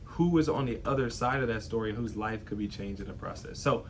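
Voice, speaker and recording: A man's voice: lowish voice, fast talker, slight background noise. A young man hosting a show, giving an earnest, heartfelt monologue full of "you know" and "right". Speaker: host.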